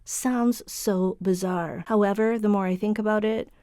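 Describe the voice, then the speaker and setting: A woman's voice: medium pitch, fairly even intonation, measured pace, warm tone, clear, resonant tone, no room sound. Woman narrating true crime, very dry sound. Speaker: true crime show host.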